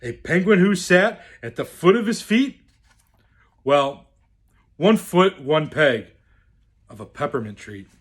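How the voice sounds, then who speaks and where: A man reading a rhyming children's storybook aloud in a theatrical, deliberate, expressive way. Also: on the high side, husky. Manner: theatrical, deliberate, swooping delivery. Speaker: storyteller in the role of Santa.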